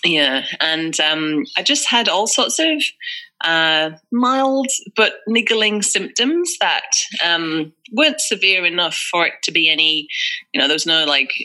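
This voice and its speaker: Woman, Northeast English accent, forties, candid, lots of ums, bright tense edge. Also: on the low side, lively intonation, a little slow, low-quality recording. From northeast England. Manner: chatty and candid, many fillers and restarts. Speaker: guest. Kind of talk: casual conversational interview.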